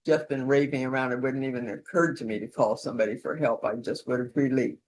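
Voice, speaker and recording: A woman's voice: extremely low pitch, steady tone, fast, darkish tone, slight background noise, poor audio. A woman leading an instructional session in an informal, conversational way, with role-played support calls. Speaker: session leader demonstrating peer-support calls.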